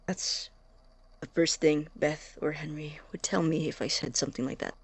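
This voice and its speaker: An intense young science student played by a woman in an audio drama monologue, convinced of being right. The voice is lowish and husky, breaking off and restarting anxiously. It is recorded close and dry. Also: steady tone, dry recording. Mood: hurt, defensive. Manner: halting, self-interrupting, intense.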